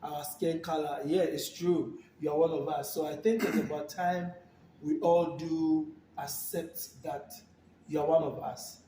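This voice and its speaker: A Ghanaian man talking casually and thoughtfully, pausing and repeating words, recorded on a phone from a distance in a room. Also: on the high side, little pitch movement, mellow, echoey room, a little hiss or hum. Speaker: guest. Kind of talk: casual conversation.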